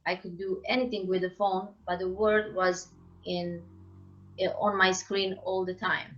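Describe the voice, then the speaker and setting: Slow-talking Croatian woman, lo-fi sound. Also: mid-range voice, flat delivery, fairly bright tone. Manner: searching for words, frequent "like" and restarts, laughs often. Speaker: guest, singer-songwriter. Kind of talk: casual interview conversation.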